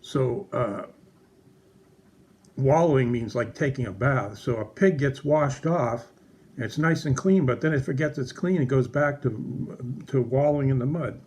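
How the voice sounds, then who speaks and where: A man's voice: mid-range voice, measured pace, a little mellow. A man presenting a casual Bible lesson for children in a puppet show, explaining things simply and conversationally.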